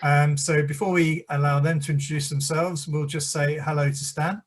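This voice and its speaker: A man's voice: fairly high pitch, flat delivery, moderate pace. A man hosting a video chat show, welcoming guests with jovial, wry teasing. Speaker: host. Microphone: video call.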